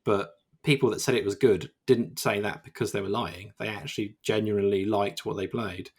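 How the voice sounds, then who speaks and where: A man's voice: lowish voice, fairly even intonation, moderate pace, slightly rough. A man co-hosting a video game podcast, chatting casually and rambling with many restarts. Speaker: podcast co-host. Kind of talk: casual chat.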